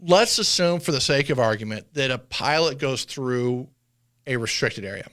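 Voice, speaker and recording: A man with a medium-pitched voice talks casually, like a seasoned insider explaining the job. He slips into quoted exchanges as he goes. The sound is dry, with very little room in it. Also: animated, normal speed, fairly bright tone, no echo. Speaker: former air traffic controller, interview guest.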